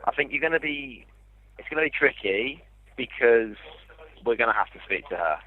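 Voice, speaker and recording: Phone-quality voice, man, call handler, medium pitch, patient explainer, conversational customer service. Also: lively intonation, normal speed. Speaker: life insurance call handler. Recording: tinny, narrow-band phone line.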